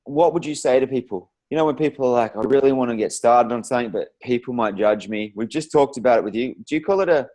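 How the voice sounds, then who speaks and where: A man's voice: medium pitch, quick pace, hardly any pauses, warm tone. A man hosting a conversational interview in an informal, rambling, encouraging way. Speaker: interview host.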